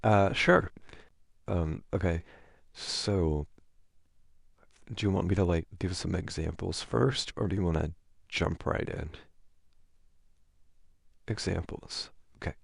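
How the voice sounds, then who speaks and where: A man with a low, creaky voice plays an awkward, amiable boyfriend in an ASMR roleplay. He talks casually and hesitantly at an unhurried pace, with a self-deprecating manner. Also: no echo, studio quality.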